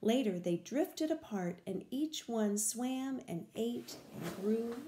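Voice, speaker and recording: An older woman reading a children's picture book aloud in a deliberate, expressive way, stressing key words. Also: fairly high pitch, echoey room, noisy background. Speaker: read-aloud storyteller. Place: small room at home, indoors.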